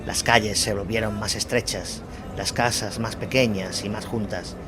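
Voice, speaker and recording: A man's voice: on the low side, fairly even intonation, moderate pace, background noise. A man narrating a dramatized war chronicle as a scripted audio story. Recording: music bed behind the voice. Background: music.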